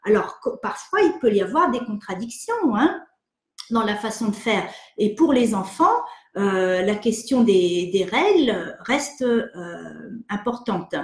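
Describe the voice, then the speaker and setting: A woman psychologist from France hosting an online session, answering viewer questions in a hesitant, gentle way with many fillers and restarts. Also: mid-range voice, sing-song, measured pace, reverberant. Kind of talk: live webinar, reading and answering viewer questions.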